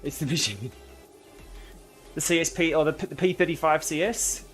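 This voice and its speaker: A man chats loosely while riding an indoor trainer, his voice sitting a little high for a man. He reads out viewer comments and answers them in an easygoing way, with faint music running underneath. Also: normal speed, a bit bright. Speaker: livestream host. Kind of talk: casual live chat while riding.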